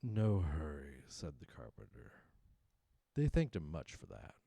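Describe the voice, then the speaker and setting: A man giving a dramatic, deliberate recitation of a narrative poem in a theatrical manner. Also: a bass voice, wildly swooping pitch, gravelly, dark tone, husky, no room sound, clean studio sound. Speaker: poetry reader.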